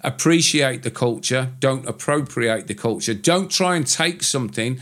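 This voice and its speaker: A man's voice: medium pitch, lively intonation, moderate pace, fairly bright tone. A man co-hosting a casual podcast, talking in a rambling, opinionated way and swearing now and then. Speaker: podcast co-host, tattooist. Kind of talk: casual chat.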